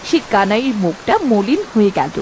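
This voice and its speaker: A woman's voice: mid-range voice, big pitch swings, a little fast, a little mellow, slight background noise, low-quality recording. A woman narrating a children's folk-tale cartoon from a script, in storybook style.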